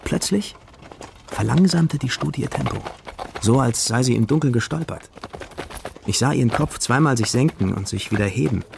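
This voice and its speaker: A man's voice: mid-range voice, animated, moderate pace, vocal fry, noisy background. An actor playing a young male wanderer who recounts his journey reflectively in a first-person radio drama. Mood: observant, uneasy. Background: music.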